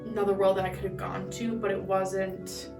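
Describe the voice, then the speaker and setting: A woman's voice: mid-range voice, level tone, normal speed, gravelly. A twenty-two-year-old woman answering interview questions reflectively, searching for words. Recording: roomy, distant mic, music underneath.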